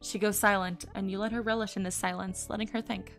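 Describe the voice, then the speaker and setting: A woman streamer reading a visual novel aloud and commenting on it, excitable and gushing, often giggling. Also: mid-range voice, fast, soft background music. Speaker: VTuber streamer.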